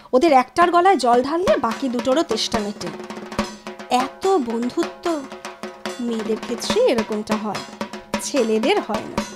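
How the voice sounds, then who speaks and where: An actress in a Bengali audio drama playing a reflective, outspoken childhood friend, musing and frank. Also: female voice, fairly high pitch. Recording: studio drama with drums and music behind.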